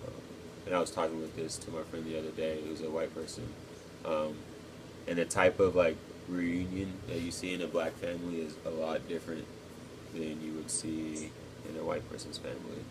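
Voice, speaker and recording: A man in his twenties from Texas, answering interview questions casually and thoughtfully, pausing often with ums. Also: rumbling low, monotone, background noise. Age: 20s. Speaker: interviewee, cadet.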